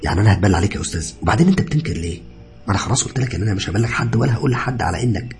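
A man voicing a calm, reassuring young hospital doctor with Egyptian Arabic speech, polite and conversational, in an audio story. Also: on the low side, very fast, soft background music, lightly acted. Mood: firm.

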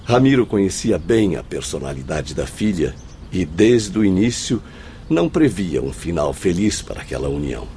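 An actor playing an earnest, well-informed spirit who recounts tragedy in formal, eloquent, emphatic phrases in a radio drama. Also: male voice, low voice, big pitch swings, normal speed, warm tone, slight background noise. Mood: grave, compassionate.

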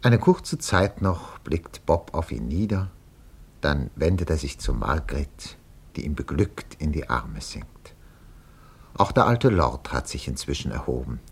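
Casting: fussy mock-solemn radio announcer, deep voice, very lively intonation, faintly noisy vintage recording. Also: male voice, unhurried, creaky, slightly rough, exaggerated voice. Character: fussy, flustered, melodramatic male radio announcer. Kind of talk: 1950s German radio drama narration, parody reportage.

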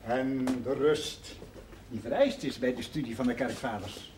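An actor playing a courteous, politely admiring gentleman in a television period drama. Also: medium pitch, measured pace, lightly acted. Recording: echoey room, faint noise, distant.

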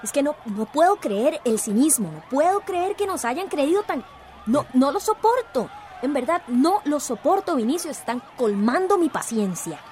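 An actress in a radio drama playing a combative village activist, indignant and emphatic. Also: female voice, on the high side, very animated, moderate pace, few pauses, darkish tone, a little hiss or hum. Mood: outraged.

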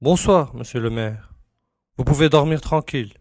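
An actor playing a dutiful, easily flustered village constable, deferential and plaintive. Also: male voice, medium pitch, moderate pace, a little mellow, no echo, studio quality, lightly acted. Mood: obedient. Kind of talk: French audio drama acting.

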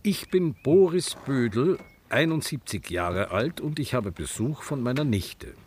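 Actor as a wistful older storyteller, animated pitch. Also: male voice, measured pace, slight background noise, lightly acted. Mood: wry, matter-of-fact. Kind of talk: radio drama narration. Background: music.

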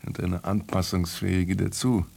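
An actor playing a wry, musing writer who narrates his story in a children's radio play, in a gravelly, husky voice. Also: low-pitched, flat delivery, normal speed, a little mellow, heavily acted. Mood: wry, chatty.

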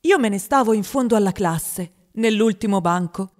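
A woman's voice: mid-range voice, animated, moderate pace, lightly acted. An actress playing a wistful, dreamy, overweight young boy who confides his story in a radio drama monologue. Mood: wistful, confiding.

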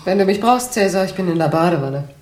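An actress in a radio play, playing a businessman's brisk and familiar secretary. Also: fairly low pitch, animated, darkish tone, reverberant, slight background noise, lightly acted. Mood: breezy. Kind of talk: German radio play acting.

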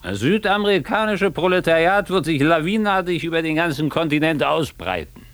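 A man's voice: a little high, lively intonation, a little fast, a bit bright, no room sound. A man playing a wry, literary narrator and interpreter in a radio drama, speaking with irony. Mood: ironic, eloquent. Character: wry male interpreter and narrator looking back on friends.

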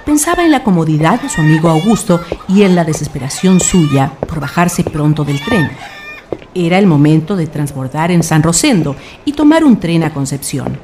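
A woman's voice: deep voice, big pitch swings, normal speed. A Chilean woman reading scripted narration for a radio drama. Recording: clear background noise.

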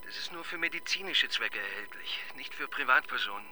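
An actor playing a shy, awkward young police assistant who talks in a hesitant, deferential, stammering way in a radio crime drama. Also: male voice, medium pitch, expressive, fairly quick, bright, forceful tone, slight background noise, low-quality recording. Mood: earnest.

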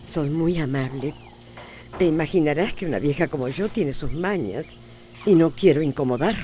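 A woman playing a proud, stubborn elderly mother-in-law with a Costa Rican accent, curt and sharp-tongued, in a radio drama. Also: low-pitched, moderate pace, a little hiss or hum, close to an everyday voice. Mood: weary. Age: older.

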